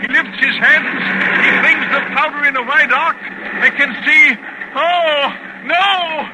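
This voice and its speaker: An actor playing a cerebral professor bearing witness to horror, in a formal, measured, literary 1940s radio style. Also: male voice, very high voice. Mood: mounting terror. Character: cerebral male professor, a horrified eyewitness reporter. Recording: old radio recording with faint noise.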